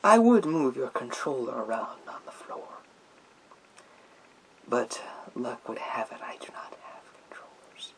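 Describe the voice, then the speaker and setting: A man playing a menacing, theatrical psychic warrior in a breathy whisper for ASMR roleplay, speaking slowly through a gas mask. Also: mid-range voice, very animated, fairly bright tone, poor audio. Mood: smug. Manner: slow, drawn-out, theatrical delivery. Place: small room lined with bookshelves. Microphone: speaking through a gas mask, close to camera.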